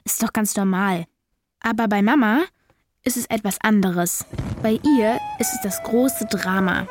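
A woman's voice: mid-range voice, a little slow, dry recording, studio quality, close to an everyday voice. A woman playing a young girl who narrates a children's radio play in a plain-spoken, confiding way. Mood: matter-of-fact. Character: young girl wishing for a pet, matter-of-fact. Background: music.

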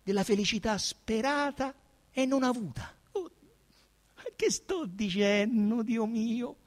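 A man's voice: very high-pitched, slow pace, voice pushed up. A male actor voicing an affectionate, insistent Roman woman coaxing her younger cousin, with a Roman accent, in a theatrical radio drama. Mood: wistful, tender. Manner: coaxing, emphatic.

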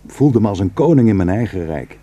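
An actor playing a simple Kurdish shepherd, narrating his memories reflectively with a husky voice in a radio drama. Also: lowish voice, very animated, fairly quick, lo-fi sound. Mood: nostalgic, reflective. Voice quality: husky, dark.

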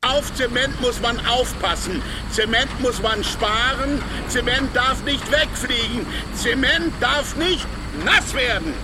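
A man voicing a stern camp watchman who chants warnings in a slow, drawn-out, admonishing way in a literary audio drama. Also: very high voice, background noise, voice pushed up.

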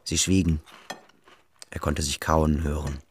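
A man's voice: a bass voice, level tone, a little slow, slight background noise. A man reading literary scripted narration as the narrator of a radio drama.

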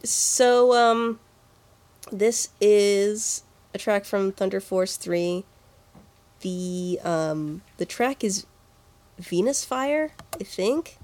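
A woman's voice: medium pitch, extremely slow, a little mellow. A woman co-hosting a podcast, chatting casually and informally about video game music. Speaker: podcast co-host.

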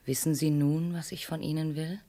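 An actress playing a cold, insistent woman who urges a man to murder, speaking calmly and at times in a whisper. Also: low-pitched, normal speed, natural voice. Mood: seductive. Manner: calm, insistent, sometimes whispering.

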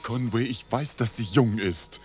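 An actor playing a passionate, impatient young Englishman in a radio drama, agitated and emphatic, moving between hushed lines and outbursts. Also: mid-range voice, measured pace, soft background music, lightly acted. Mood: earnest, confessing. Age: young adult. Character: young, hot-headed, impatient, passionate Englishman, scornful and pleading.

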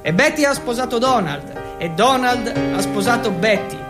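A man's voice: extremely high pitch, expressive, normal speed, over loud music. A man playing a fervent, solemn preacher who exhorts insistently in repeated refrains. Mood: solemn, exalted. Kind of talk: theatrical sermon monologue.

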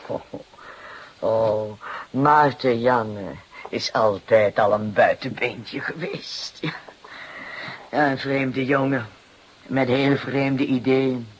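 A weary mother of a big working-class family speaks in a very rough, breathy voice. The delivery is plaintive and halting, with an aggrieved, emotional edge. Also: mid-range voice, steady tone, poor audio. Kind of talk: Dutch radio drama acting.

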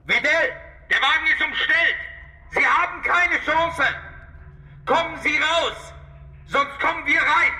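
An actor playing a tense, demanding police detective in a radio drama, urgent and commanding. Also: male voice, very high-pitched, takes it slowly, pauses often, pushed and bright, exaggerated voice, low-quality recording. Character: urgent, forceful male police detective seeking his colleague. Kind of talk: modern German radio drama acting.

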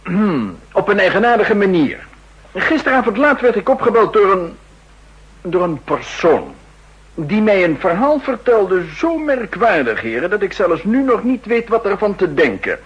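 A theatrical scheming spy chief. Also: male voice, high-pitched, big pitch swings, moderate pace, muffled recording, full character voice. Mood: insinuating. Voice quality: husky, theatrical and insinuating. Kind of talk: 1960s radio drama acting.

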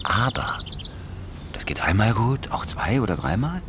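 A man's voice: fairly low pitch, big pitch swings, measured pace, fairly bright tone, slight background noise. An actor in a naturalistic radio play playing a wary, plain-spoken male petty thief, talking colloquially and hesitantly with muttered doubts. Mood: cautionary, rueful.

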